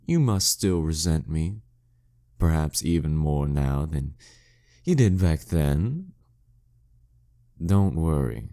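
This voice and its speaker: A man's voice: extremely low pitch, very animated, slow pace, long pauses, dark tone, full character voice. A man playing a smug, dominant, seductive demon king in a flirty audio roleplay, teasing and condescending with courtly menace. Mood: possessive, teasing. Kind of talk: flirty audio roleplay monologue addressed to the listener.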